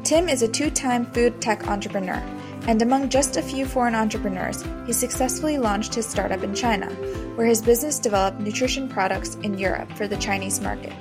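A woman's voice: a little high, fairly quick, over music. Upbeat woman podcast host. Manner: upbeat, enthusiastic. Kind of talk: scripted introduction and interview questions.

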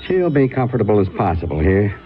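An actor playing a folksy, reassuring man in a radio drama, with a steady, earnest, colloquial delivery. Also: lowish voice, fast talker. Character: reassuring, folksy male ally of a mystic. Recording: dull old radio sound, faint music.

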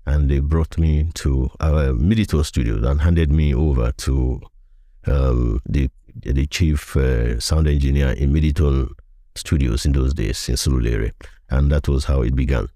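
Older man, Nigerian accent, bass-deep voice, interview guest reminiscing, very dry recording. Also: fairly even intonation, measured pace, warm tone, no room sound. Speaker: podcast guest, veteran voiceover artist.

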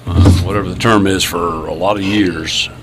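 A man's voice: low-pitched, fairly even intonation, normal speed, noisy background. A man hosting a casual podcast chat, rambling and joking with lots of fillers. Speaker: podcast host.

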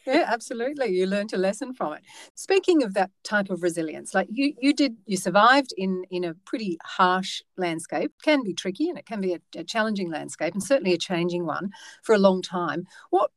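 A woman's voice: medium pitch, expressive, a little fast, dry recording. A woman interviewing a guest, asking questions and responding with encouragement. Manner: attentive, encouraging listener.

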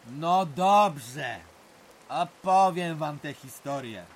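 An actor playing an older village uncle telling folksy, dramatic memories and ghost tales in an audio drama. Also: male voice, a little high, lively intonation, extremely slow, slight background noise, heavily acted. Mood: emphatic. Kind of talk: audio drama acting, reminiscing narration.

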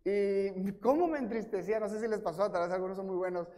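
A man from Mexico with a Mexican accent, preaching a sermon as pastor, telling self-mocking stories and acting out quotes in a lively way. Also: sits high, fairly quick, noisy background. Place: church. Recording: reverberant church hall.

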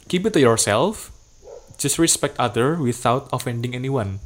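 A man, talking in relaxed podcast chat. His voice sits at an ordinary middle pitch. He drops English phrases into his slangy talk. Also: a little slow. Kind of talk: casual chat.

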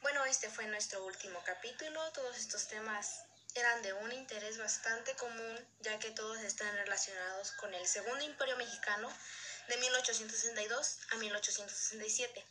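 A woman's voice: a little high, fairly quick, bright, forceful tone, slight background noise. A woman presenting in a formal way, reading scripted educational narration aloud.

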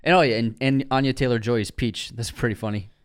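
A man co-hosting a movie news podcast, chatting in a relaxed, easygoing way and agreeing readily. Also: medium pitch, quick pace, clear, resonant tone, no echo, studio quality. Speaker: podcast co-host. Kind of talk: casual chat about movie news.

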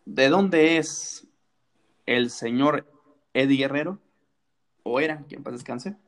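A man's voice: mid-range voice, slow pace. A man co-hosting a casual wrestling chat, playful and teasing, fond of rhetorical questions. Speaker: wrestling podcast co-host.

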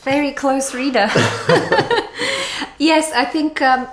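A woman with a Bulgarian accent and a trace of a New Zealand twang, a writer speaking reflectively as an interview guest, often pausing with fillers. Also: sits high, animated. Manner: reflective, halting, many fillers. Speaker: author guest. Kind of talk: interview conversation. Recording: echoey room, faint noise.

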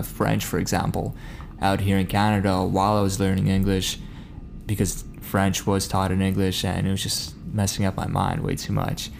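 A man from Kazakhstan whose first language is Russian, a guest on a podcast, speaking in a relaxed, reflective way. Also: low voice, gravelly, slight background noise. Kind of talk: casual podcast chat.

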